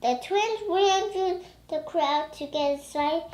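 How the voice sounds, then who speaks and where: A young child with a very high, bright voice reads a storybook aloud and chats. The delivery is slow and halting, with stumbles over words, in a roomy-sounding recording. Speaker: young reader. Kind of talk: reading a storybook aloud, chatting.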